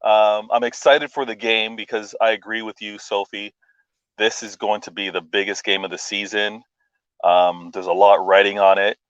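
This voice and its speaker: A man with a fairly low voice talks football as a guest on a live stream, eager and casual, with frequent "um" pauses. His mic sounds dull and muffled, dry with no room echo. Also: little pitch movement, fairly bright tone, smooth. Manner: enthusiastic, fills pauses with "um". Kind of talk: casual chat.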